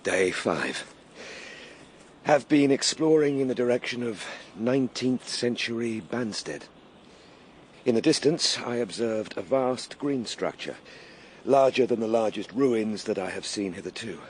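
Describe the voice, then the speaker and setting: An actor playing an earnest Victorian time-travelling explorer in a radio drama. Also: male voice, medium pitch, lively intonation, unhurried, close to an everyday voice. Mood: resolute. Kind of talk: radio drama acting, spoken log. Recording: clearly noisy with ambience.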